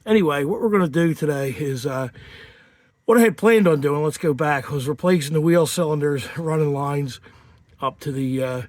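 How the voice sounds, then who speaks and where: A man's voice: a little high, moderate pace, dry recording. A man talking casually through a home repair job outdoors, rambling with lots of fillers and restarts, sniffling from a lingering cold. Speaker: home mechanic. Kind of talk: casual garage how-to.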